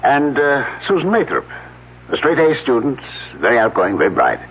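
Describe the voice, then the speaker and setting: An actor playing a fussy, educated professor in a radio drama, explaining himself a little hesitantly. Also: male voice, mid-range voice, sing-song, normal speed, slightly rough, narrow, tinny audio. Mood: explaining, defensive. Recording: noisy, band-limited old radio broadcast.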